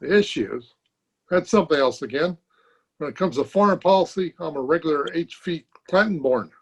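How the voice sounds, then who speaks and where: A man acting a husky, folksy barber. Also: fairly high pitch, animated, normal speed. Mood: wry. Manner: folksy, colloquial, chuckling drollery. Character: folksy, wisecracking male barber, stubborn and henpecked. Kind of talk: old-time radio comedy acting. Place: home, recorded over video call. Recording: dull, lo-fi video-call recording.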